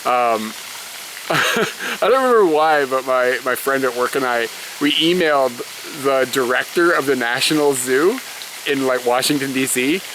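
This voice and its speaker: A man's voice: mid-range voice, normal speed, fairly bright tone. Chatty young man, noisy background. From United States. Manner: chatty storyteller, many "like" fillers, laughs often. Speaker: vlogger, elementary school teacher. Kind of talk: casual chat, answering viewer questions.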